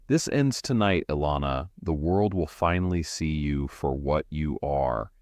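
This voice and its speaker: A man plays a resolute thriller hero with an extremely deep, creaky voice. He is defiant and emphatic in audio drama style, recorded dry and close. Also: animated, a little slow, a little mellow, no room sound, heavily acted. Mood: urgent.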